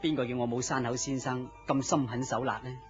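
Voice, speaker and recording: A man's voice: medium pitch, fairly even intonation, a little fast, natural voice. An actor with a Hong Kong Cantonese accent playing a polite, bashful man courting his boss's mistress in a radio drama, deferential and hesitant. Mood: sympathetic, envious. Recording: muffled lo-fi radio drama, music behind.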